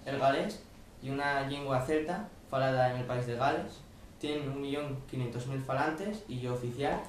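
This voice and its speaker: A man's voice: medium pitch, moderate pace, a little hiss or hum. Teen boy, recited class talk, heavy room echo, far from mic. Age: teens. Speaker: student presenter. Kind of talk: school presentation.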